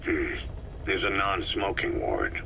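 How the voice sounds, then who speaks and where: An actor playing a gruff, weary middle-aged soldier, laconic and dry, in a dramatic game cutscene. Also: male voice, sits low, sing-song, slow pace, bright, forceful tone, heavily acted. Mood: dry, wary. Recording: dull, slightly noisy track.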